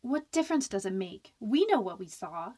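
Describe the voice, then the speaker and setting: A woman playing a jittery, snappish, stubborn young working woman in a radio horror drama, emotional and sharp-tongued. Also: medium pitch, very animated, normal speed, background noise, natural voice. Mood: defensive, rattled.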